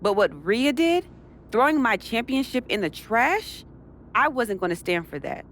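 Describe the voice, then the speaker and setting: A woman's voice: fairly high pitch, lively intonation, measured pace, fairly bright tone, a little hiss or hum. A woman playing a confident, determined pro wrestler in a video game cutscene. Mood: determined, indignant. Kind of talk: scripted game cutscene dialogue.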